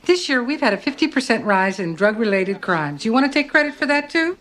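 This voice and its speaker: A woman's voice: on the high side, big pitch swings, fairly quick, fairly bright tone. An actress playing an assertive alien businesswoman and community leader in a television drama, speaking in a pointed, formal manner. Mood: impatient.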